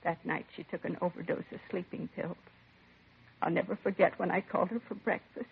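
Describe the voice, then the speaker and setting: A woman's voice: lowish voice, steady tone, a little fast, a little hiss or hum. An actress playing a composed middle-aged mother of a slain son in a 1950s radio crime drama, answering plainly and with restraint. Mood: distressed. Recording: vintage 1950s radio, narrow husky sound.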